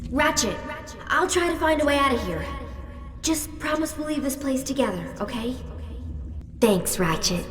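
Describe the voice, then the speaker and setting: Determined young adventuress for a game, earnest, lively pitch, roomy echo. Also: female voice, moderate pace, pauses often. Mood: worried, earnest. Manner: earnest, questioning. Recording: echoey hall, distant from mic.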